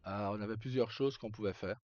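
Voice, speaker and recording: A man live streaming, casually telling roleplay stories in a rambling, halting way with pauses mid-sentence. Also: fairly low pitch, a little mellow. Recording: slightly muffled, roomy, faint music. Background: background music.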